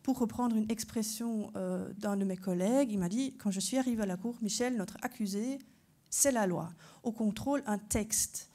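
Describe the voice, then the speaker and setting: A woman's voice: medium pitch, moderate pace, mellow. A woman from Belgium whose first language is German, a constitutional court judge giving a structured conference lecture and putting rhetorical questions to her audience. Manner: structured explanatory delivery, poses rhetorical questions.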